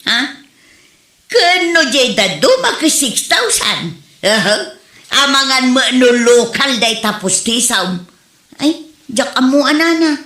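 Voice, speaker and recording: A woman with an Ilocano Filipino accent playing a loud, bickering village wife in a comedy skit. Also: sits high, sing-song, slow pace, exaggerated voice. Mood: gossipy, teasing. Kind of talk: Ilocano comedy drama acting. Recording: roomy echo, music behind.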